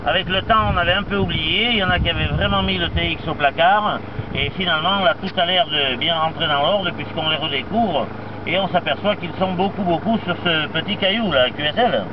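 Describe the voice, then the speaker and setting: High-voiced man chatting over noisy two-way radio. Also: a little fast. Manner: chatty, peppers talk with radio jargon. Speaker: amateur radio operator. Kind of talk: casual radio contact chat. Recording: muffled, noisy two-way radio transmission with vehicle noise.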